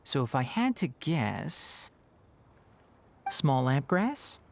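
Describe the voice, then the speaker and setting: Lively, polite young scholar. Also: male voice, medium pitch, gravelly, slight background noise, full character voice. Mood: curious. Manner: measured, polite, explanatory. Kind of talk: animated video game voice acting.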